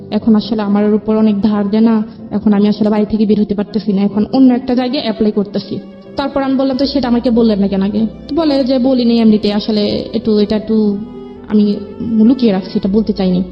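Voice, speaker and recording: A woman with a Bangladeshi accent telling her own life story as an interview guest. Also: on the high side. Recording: dull lo-fi audio, music underneath. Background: background music.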